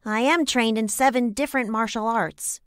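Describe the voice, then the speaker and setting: A woman's voice: a little high, moderate pace, no echo, clean studio sound, lightly acted. A woman playing a small, tough superheroine, earnest and matter-of-fact, in a comedy audio drama. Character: small, tough, wounded superheroine, martial arts expert.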